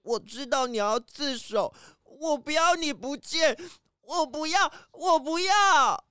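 A woman's voice: sits high, slow, pushed and bright, dry recording, studio quality, heavily acted. A woman voicing a small, clinging male lemur, a younger brother, with childish pleading in a children's audio drama.

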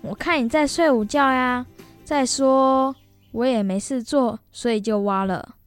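Young female high-voiced, considerate big brother. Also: measured pace, clean tone, faint music behind, natural voice. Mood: earnest. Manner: earnest, considerate. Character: responsible, considerate boy, elder brother. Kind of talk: children's educational radio drama acting.

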